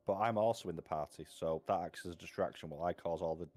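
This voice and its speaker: British male co-host, lowish voice, casual banter, dry and quick to correct. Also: darkish tone. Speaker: co-host of a read-along stream.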